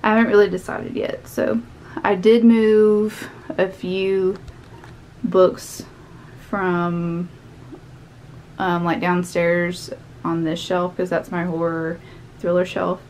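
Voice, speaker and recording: A woman's voice: lowish voice, little pitch movement, slow, long pauses, a little mellow. A young woman with a light Southern American lilt chats away, upbeat and a little scattered, as she tidies her small office. She is picked up by the camera mic as she moves around the room, with a faint hum in the background. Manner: chatty, upbeat, thinks aloud while tidying. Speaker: vlogger. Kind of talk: casual cleaning and haul vlog. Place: small home office, indoors.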